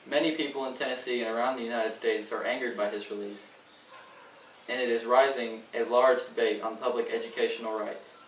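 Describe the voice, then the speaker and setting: A man's voice: mid-range voice, steady tone, fairly quick, natural voice. A man playing a solemn 1920s radio news correspondent, delivering scripted reports in an amateur period skit. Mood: formal. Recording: roomy, distant, faintly noisy.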